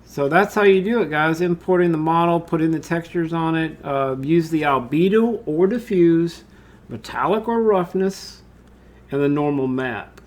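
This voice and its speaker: A man giving an informal screen-share software tutorial, talking through his steps and thinking aloud as he works. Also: fairly high pitch, normal speed. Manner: casual, thinking aloud, addresses viewers as guys. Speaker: tutorial host.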